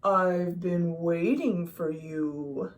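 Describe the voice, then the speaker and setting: Woman voicing a slow, deep, wise octopus. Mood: solemn, wise. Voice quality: deep, drawn-out voice. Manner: slow, portentous. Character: wise old octopus with slow, solemn advice.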